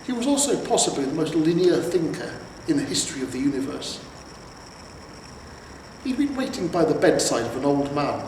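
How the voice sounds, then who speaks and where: A man narrates a story in a measured, pausing delivery. His voice is on the higher side, heard from a distance in a very reverberant room with faint noise. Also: big pitch swings. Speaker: amateur audiobook reader. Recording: roomy, echoing, distant mic with noise.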